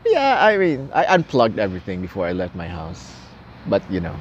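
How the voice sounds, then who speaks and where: Chatty streamer, lively up-and-down voice. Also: male voice, lowish voice, normal speed, highs cut off. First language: Tagalog. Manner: chatty, laughs often, repeats words. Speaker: live streamer. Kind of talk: casual chat with viewers. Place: outdoors at a gas station at night. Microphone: phone camera at arm's length. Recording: faint outdoor noise. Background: traffic and city ambience.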